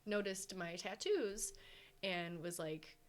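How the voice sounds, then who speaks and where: A woman co-hosting a podcast in casual chat, talking in a rambling, animated way and acting out what others said. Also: mid-range voice, slow. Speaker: podcast co-host.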